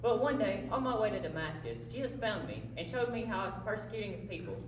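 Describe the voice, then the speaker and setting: A man's voice: high voice, very animated, quick pace, recorded from a distance. Noisy echoey hall. Man as earnest ancient preacher puppet, declarative talk-show guest. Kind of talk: scripted comedy puppet skit. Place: large echoey hall, live performance.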